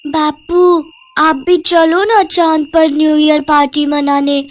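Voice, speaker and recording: A woman's voice: high-pitched, little pitch movement, slow, pitched above the performer's own voice. A woman voicing an eager, pleading young child from a poor family in a cartoon moral story. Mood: excited pleading.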